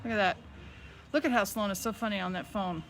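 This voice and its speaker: A woman's voice: mid-range voice, a little hiss or hum. A woman co-hosting a live show from a small shop, chatting casually in a teasing, wry way. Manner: teasing and wry, drawn-out emphasis. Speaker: co-host of a live store show. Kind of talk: casual chat.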